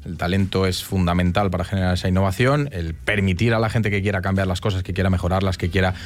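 A man's voice: sits low, fairly even intonation, quick pace, dry recording, faint music behind. A middle-aged man with a Castilian Spanish accent, giving emphatic, conversational answers as an interview guest. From León, northern Spain. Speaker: interview guest, city councillor.

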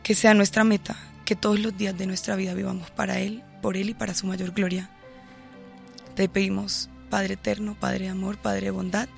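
A young woman from Bogotá with a Colombian Caribbean coast accent, sharing her faith testimony and leading a prayer in a soft, earnest voice. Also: medium pitch, creaky. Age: 20s. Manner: earnest, leads prayer in a soft steady cadence. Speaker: guest giving testimony. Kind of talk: casual chat and spoken prayer.

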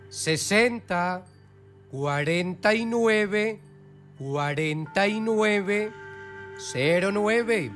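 Male radio healer-host, notably high voice, preachy promotional delivery, music underneath. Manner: preaching, slow repeated recital of phone numbers. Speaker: spiritual healer hosting a radio program.

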